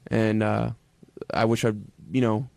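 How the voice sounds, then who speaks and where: A man in casual radio studio conversation, answering questions in a halting, defensive way with repeated restarts. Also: fairly low pitch, flat delivery, gravelly, darkish tone, no echo. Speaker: radio show regular, interviewed guest.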